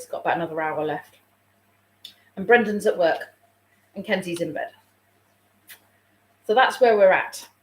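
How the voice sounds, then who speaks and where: A woman, a childminder chatting casually on a live stream and reading out viewer comments, a little snuffly with a sore throat coming on. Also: fairly low pitch. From England. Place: room at home. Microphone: phone. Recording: roomy home room echo.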